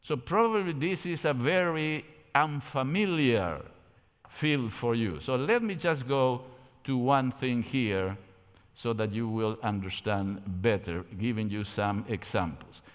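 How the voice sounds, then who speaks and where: A man's voice: mid-range voice, measured pace. An older man from Argentina with an Argentine Spanish accent, giving a didactic yet conversational theology lecture with rhetorical questions. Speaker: theology lecturer at a church camp meeting. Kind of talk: lecture with slides. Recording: echoey hall with long reverb.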